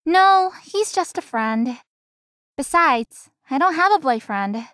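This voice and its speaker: A high, closely recorded young female florist from a visual novel. She is courteous and hard-working, and speaks with very little room sound. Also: animated, slow pace, fairly bright tone, no room sound, clean studio sound, full character voice. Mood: friendly. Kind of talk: visual novel game acting.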